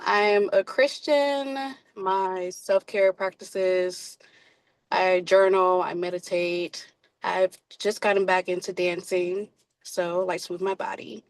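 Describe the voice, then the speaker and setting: A woman in her twenties from Houston introducing herself on a video call, measured and a little hesitant. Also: medium pitch, dry recording. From Houston, USA. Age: 20s. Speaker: participant.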